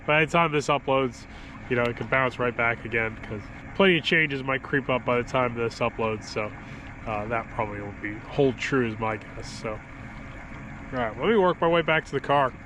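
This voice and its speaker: A man talks through his day in a loose, rambling vlog style, chuckling now and then, his nose stuffed up from a cold. He is outdoors by the water in winter. Wind and water noise are clearly heard behind him. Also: medium pitch, normal speed. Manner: rambling, relaxed, chuckles between thoughts. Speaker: fishing vlogger. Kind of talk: casual outdoor vlog commentary.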